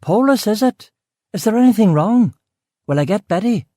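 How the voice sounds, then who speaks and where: A woman's voice: mid-range voice, lively intonation, moderate pace, mellow, no room sound, clean studio sound, pitched above the performer's own voice, close to an everyday voice. A man voicing a blunt middle-aged woman cook with a West Belfast accent, in clipped local speech, with his voice raised well above his own. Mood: curt.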